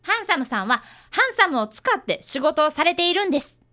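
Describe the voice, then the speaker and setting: A woman's voice: sits high, sing-song, a little fast, pushed and bright, exaggerated voice. A woman playing an earnest, polite fifth-grade boy who is an apprentice detective, acting and narrating in a radio drama.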